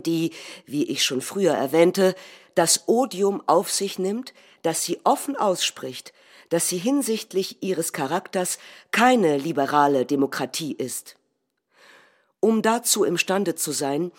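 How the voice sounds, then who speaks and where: A woman acting as a calculating nationalist head of government, delivering a measured, rhetorical political speech in a theatrical reading. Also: fairly low pitch, a little mellow. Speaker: stage actor.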